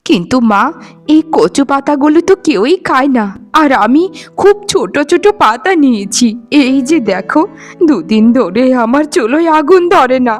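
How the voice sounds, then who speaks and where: A woman voicing a poor, humble, long-suffering old mother in a cartoon, plaintive in manner. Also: high voice. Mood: pleading. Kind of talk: cartoon voice acting. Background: faint music.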